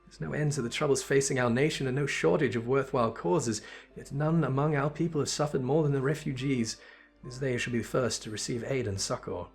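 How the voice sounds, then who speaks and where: Male player as a regal young game sultana: earnest, gracious, courtly and measured, over music. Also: mid-range voice, lightly acted. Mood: earnest, reflective. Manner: formal, measured, courtly phrasing. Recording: music behind the voice.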